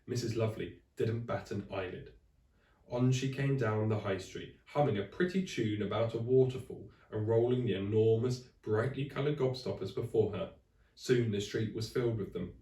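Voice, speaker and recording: Bloke reading kids story out loud, lowish voice, expressive, roomy echo. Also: male voice, moderate pace, recorded from a distance. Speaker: teacher reading aloud to children. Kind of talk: expressive children's storybook narration. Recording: echoey room, away from the mic.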